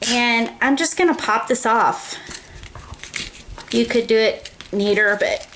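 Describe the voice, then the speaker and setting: A woman hosting her own craft show, walking viewers through a project step by step in a casual, chatty way and often checking in with a quick "okay?". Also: fairly high pitch, fairly bright tone, a little hiss or hum.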